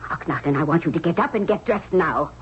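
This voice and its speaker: An actress playing a stern, middle-aged queen mother of ancient Egypt in a 1970s radio drama, with an imperious, measured, formal delivery. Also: sits low, animated. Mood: urging. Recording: muffled, noisy old radio recording.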